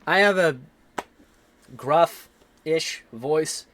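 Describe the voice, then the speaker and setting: A man in his twenties with a slightly raspy voice, rambling casually and swearing as he streams his 3D modeling work and answers chat. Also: a little high, extremely slow, fairly bright tone, slight background noise. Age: 20s.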